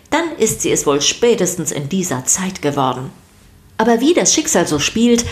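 A woman narrating a scripted children's story podcast, expressive and speaking directly to the listeners. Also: lowish voice.

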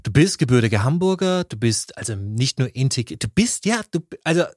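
A man's voice: mid-range voice, big pitch swings, a little fast, darkish tone, dry recording, studio quality. A man co-hosting a casual podcast, rambling with many restarts and blunt, coarse remarks. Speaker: podcast co-host.

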